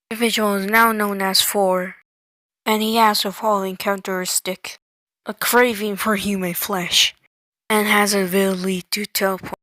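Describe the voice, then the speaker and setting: A woman's voice: medium pitch, a bit bright, no room sound. Woman reading formal, stilted emergency bulletins. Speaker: emergency alert announcer and news anchor. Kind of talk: scripted emergency broadcast narration.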